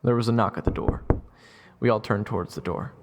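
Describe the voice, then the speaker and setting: A man's voice: on the low side, brisk, natural voice. A man playing a cocky, flippant young swordsman who narrates his own adventure in an audio drama. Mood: narrating, wry. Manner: flippant, boastful. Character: cocky young rogue swordsman, evasive and sarcastic. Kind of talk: first-person audio drama narration and dialogue.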